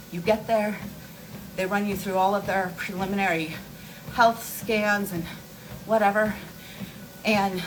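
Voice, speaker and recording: Candid woman chatting over noisy live audio. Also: medium pitch. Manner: candid, informal, emphatic with repeated words. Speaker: host of a beauty and wellness live stream. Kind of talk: casual live chat to followers.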